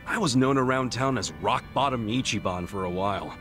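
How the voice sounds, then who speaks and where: A man's voice: mid-range voice, brisk, fairly bright tone, faint music behind, close to an everyday voice. A man voicing a blunt, earnest, streetwise middle-aged underdog in a video game, slangy and frank. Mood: casual, frank. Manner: blunt, slangy, incredulous questioning. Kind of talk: video game cutscene dialogue acting.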